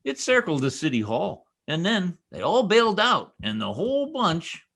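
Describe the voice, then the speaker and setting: A man's voice: sits high, huge pitch swings, moderate pace, creaky, a bit bright, heavily acted. A man playing a boastful, folksy small-town husband full of slangy bluster in an old-time radio comedy. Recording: video call recording.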